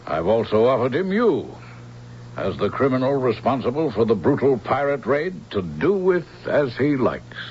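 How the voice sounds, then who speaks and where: An actor playing a haughty, treacherous emperor, pompous and smooth-talking, in a radio drama. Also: male voice, medium pitch, very animated, moderate pace, darkish tone, close to an everyday voice. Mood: smug. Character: smug, treacherous male emperor, haughty schemer. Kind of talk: radio drama villain acting. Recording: dull lo-fi radio recording, faint hiss.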